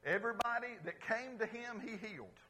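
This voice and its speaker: A pastor preaching to his congregation in a lively, conversational way, calling out for responses and swinging between loud exclamations and quiet asides. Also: very high-pitched, brisk, slight background noise. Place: church service before a congregation.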